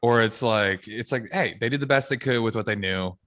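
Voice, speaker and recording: A man's voice: medium pitch, a little fast, fairly bright tone. A man of about thirty, a podcast host who chats casually and answers in an upbeat, affirming way. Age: 30s.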